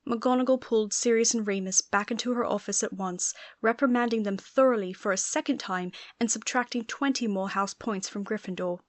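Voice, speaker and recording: Woman audiobook narrator, reading a story, mid pitch, dry close mic. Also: fairly quick, hardly any pauses, no echo.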